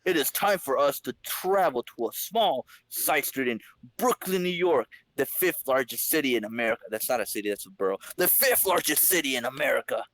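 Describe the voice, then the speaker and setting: A man's voice: medium pitch, expressive, fairly quick, fairly bright tone, rough recording. A man hosting a comedy podcast, switching between playful narration and casual banter. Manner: jokey, playful.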